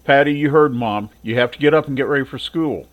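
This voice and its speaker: A man's voice: mid-range voice, brisk, lightly acted. A man voicing a tired teenage boy trying to get his little sister out of bed. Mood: weary. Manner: pleading then firm. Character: weary teenage boy, older brother.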